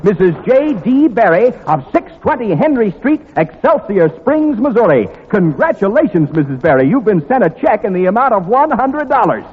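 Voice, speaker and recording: A high-voiced American man plays formal quizmaster on 1940s radio. His delivery swings widely in pitch while staying precise. It comes through muffled, with long theater reverb. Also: very animated, moderate pace, hardly any pauses. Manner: crisp formal showman, repeats and spells out items precisely. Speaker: quiz show host. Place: large theater before an audience.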